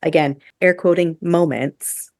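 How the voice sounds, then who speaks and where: A woman's voice: fairly low pitch, steady tone, unhurried, darkish tone, dry recording, studio quality. A woman hosting a podcast in casual review chat, speaking informally and emphatically, with the odd swear word. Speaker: podcast host.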